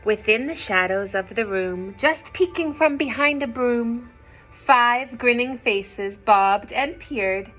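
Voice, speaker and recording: A woman reading a children's storybook aloud expressively, pausing to explain simply and ask young listeners questions. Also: on the high side, fairly bright tone, faint music behind.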